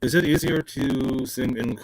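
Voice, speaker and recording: A man's voice: medium pitch, steady tone, measured pace, rough recording. A man hosting a music podcast, asking a guest brief, direct questions. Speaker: podcast host. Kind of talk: interview questions.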